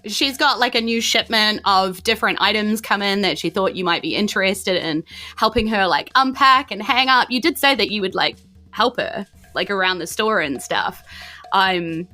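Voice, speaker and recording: A woman's voice: mid-range voice, animated, moderate pace, pushed and bright, faint music behind. A woman with a New Zealand accent narrating a tabletop game as game master, chatty and informal, putting questions to the players.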